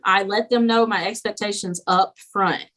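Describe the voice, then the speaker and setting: A young woman teacher chatting as a podcast guest, animated and laughing often, slipping into quoted voices as she tells stories. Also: mid-range voice, moderate pace. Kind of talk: casual podcast interview.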